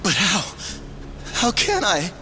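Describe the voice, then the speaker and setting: An actor playing a terrified captive in a video game, panicked and pleading for mercy. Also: male voice, high voice, wildly swooping pitch, slow, background noise, exaggerated voice. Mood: horrified. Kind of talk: dramatic game voice acting.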